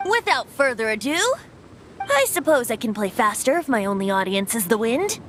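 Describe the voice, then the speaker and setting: A woman voicing a whimsical, free-spirited young boy bard in an animated video game, light and boyish, with a lilting, poetic manner. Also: on the high side, normal speed, slight background noise. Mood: thoughtful, playful. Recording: music behind the voice.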